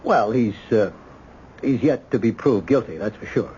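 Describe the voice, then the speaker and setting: An American actor in a radio mystery playing a measured, skeptical police lieutenant who talks in a hesitant, conversational way. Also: male voice, on the low side, lively intonation, dark tone, close to an everyday voice. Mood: guarded, puzzled. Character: measured, skeptical male police ballistics lieutenant, helpful underneath. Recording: dull, noisy old radio broadcast.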